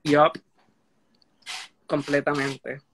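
A man in his twenties with a Puerto Rican accent, joining a livestream over a phone video call, chatting in a warm, quick way. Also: fairly high pitch, completely flat delivery, creaky, a little hiss or hum.

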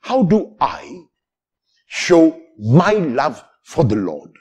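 An older man, a priest, giving a homily from a church lectern with animated gestures. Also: a little high, extremely slow, breathy edge. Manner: animated preaching with sweeping arm gestures. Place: church sanctuary at a lectern, indoors.

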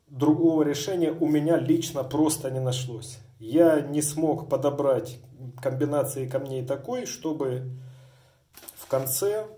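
A man's voice: a little high, dark tone. A middle-aged man demonstrating a honed razor, talking in a wordy, formal way with many hedges and asides. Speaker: razor sharpener. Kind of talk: hands-on demonstration. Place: indoors at a workbench.

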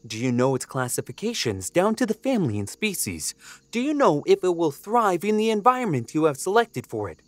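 A man's voice: sits high, big pitch swings, fairly quick, dry recording. A man playing a fussy, confident god of order in a fantasy audio drama, pedantic and precise. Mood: pedantic, assured.

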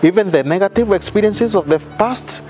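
Priest preaching in a garden, kinda high voice, lots of uhs, faint music behind. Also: male voice, expressive, a little mellow. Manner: measured preaching with many fillers and restarts, gesturing. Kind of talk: sermon. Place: outdoor garden altar.

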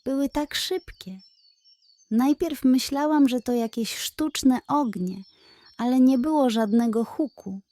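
A woman's voice: high voice, takes it slowly, mellow, no room sound. A woman voicing a worried squirrel grandmother in a children's story, telling her tale in an uneasy, wondering way.

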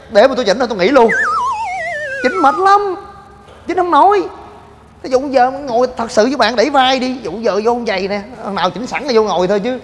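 A young man hosting a gym vlog, joking in rapid banter and shouting coaching cues. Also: very high-pitched. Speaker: fitness vlogger. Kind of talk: energetic gym demo vlog. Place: large indoor gym. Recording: long gym reverb with music.

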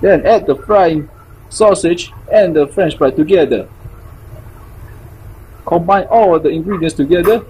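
A man's voice: fairly high pitch, unhurried, pauses often, dark tone, a little hiss or hum, low-quality recording. A man hosting a casual recipe tutorial, chatty with simple phrasing and playful sing-song repeats. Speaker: cooking show host. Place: small indoor room.

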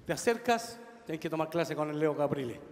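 A man's voice: on the high side, lively intonation, brisk, darkish tone, a little hiss or hum. Bossy Chilean man directing on stage. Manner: bossy, instructing, exaggerated. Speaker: comedian playing a director. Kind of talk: stage sketch comedy. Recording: live festival stage with hall echo.

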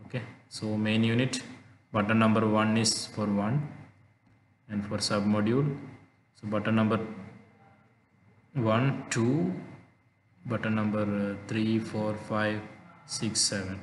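A man giving an unscripted step-by-step technical tutorial, speaking in halting, repetitive instructional phrases. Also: lowish voice, little pitch movement. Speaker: tutorial presenter. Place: indoor room, reverberant, faint noise behind. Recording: echoey reverberant room, background noise.

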